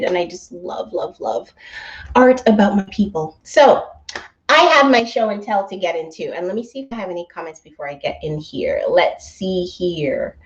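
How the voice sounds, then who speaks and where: A woman's voice: fairly low pitch, muffled recording. A woman in her thirties, a bit stuffy from allergies, hosting a casual weekly live stream from home and chatting upbeat with viewers, laughing often. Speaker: host of a travel company's weekly live stream. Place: indoors at home. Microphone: laptop microphone.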